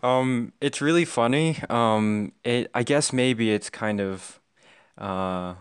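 A man's voice: medium pitch, slow, smooth, no echo, clean studio sound. A young adult American man talking casually and reflectively in a conversational interview. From United States. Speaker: language learner being interviewed.

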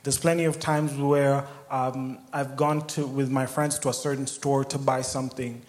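A man's voice: medium pitch, level tone, moderate pace, darkish tone, slight background noise. Reflective young man from Kenya. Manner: reflective storytelling with fillers. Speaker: guest speaker. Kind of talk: personal testimony.

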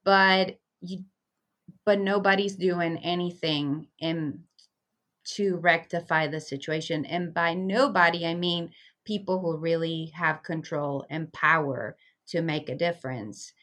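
A woman's voice: on the low side, fairly even intonation, measured pace, fairly bright tone. A woman from Venezuela, a community liaison, speaking earnestly and reflectively as a guest in an interview. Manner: earnest, reflective, with frequent fillers. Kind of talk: conversational interview.

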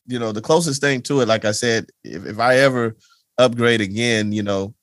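A man's voice: medium pitch, moderate pace, fairly bright tone, no echo, clean studio sound. A man hosting a podcast, chatting in a laid-back way and laughing often. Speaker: podcast host. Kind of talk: casual conversation.

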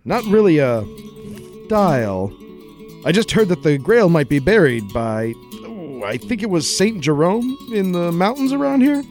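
Man playing wary high-voiced adventurer. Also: very animated, a little slow, a little mellow, faint music behind, exaggerated voice. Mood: awkward, polite. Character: wary, muscular traveling grail hunter, polite but unimpressed. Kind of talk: 1940s-style radio play acting.

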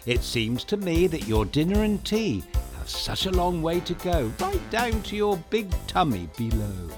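Male kids' storyteller: playful, theatrical, highly animated, unhurried, with music underneath. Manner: playful, theatrical, addressing young listeners directly. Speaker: storyteller and host of a children's bedtime podcast. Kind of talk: scripted children's story narration.

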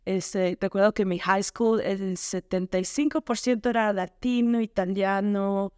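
A woman's voice: medium pitch, fairly even intonation, unhurried, darkish tone, smooth, no room sound, clean studio sound. A woman with an Ecuadorian accent telling her story casually as a podcast guest, often searching for words. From Quito, Ecuador.

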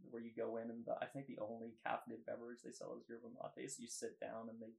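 A young man from Canada with a gravelly, creaky voice, chatting as a podcast guest. He speaks at an average pitch. He is easygoing and often pauses on "uh". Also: monotone, a little mellow. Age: young adult. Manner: easygoing, hesitant with "uh" and "yeah". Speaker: guest filmmaker. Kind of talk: casual interview chat.

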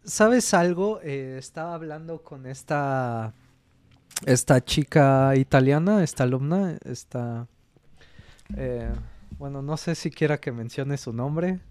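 A young Mexican man who co-hosts a podcast and speaks in a Mexican accent, telling stories in a relaxed way and acting out the people he quotes. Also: mid-range voice, slow pace, smooth, no room sound. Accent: Mexican Spanish. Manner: chatty storyteller, acts out quoted voices, says "mano" a lot. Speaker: podcast co-host. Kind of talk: casual chat.

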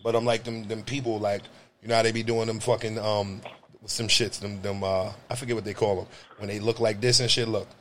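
A man hosting a podcast, joking crudely and loudly, swinging his voice high when he riffs. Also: flat delivery, brisk, a little hiss or hum. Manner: loud, profane, joking, swings pitch high when riffing. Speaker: podcast host. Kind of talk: crude comedic banter.

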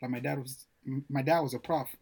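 A man's voice: mid-range voice, steady tone, fairly quick, vocal fry, darkish tone, slightly rough. A young man from Zimbabwe sharing his background in a casual podcast chat, using easy slang. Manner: casual slang, addresses friends as bro.

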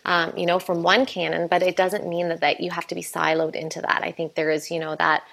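Earnest woman, festival director, speaking slightly low in an unscripted interview. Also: little pitch movement, brisk, gravelly, a bit bright, slight background noise. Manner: earnest, many restarts and fillers. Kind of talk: conversational interview answer.

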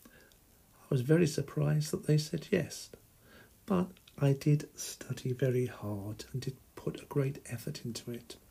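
Solo podcast host, man from England, middle-aged, slow deliberate delivery, gravelly husky voice. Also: medium pitch, mellow.

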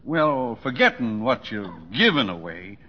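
A man's voice: fairly low pitch, very animated, measured pace, slightly rough, exaggerated voice. An actor playing a blunt, needling elderly father in an old-time radio drama, with a folksy, pointed, skeptical way of questioning. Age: older. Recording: muffled old radio recording, reverberant stage.

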